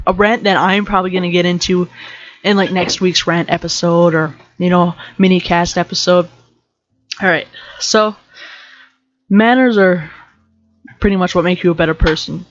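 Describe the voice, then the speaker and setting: Deep-voiced young woman ranting casually. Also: fairly even intonation, normal speed, pauses often, muffled recording. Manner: rambling, joking, self-interrupting. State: slightly sick with allergies. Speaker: podcast host. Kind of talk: casual motivational rant.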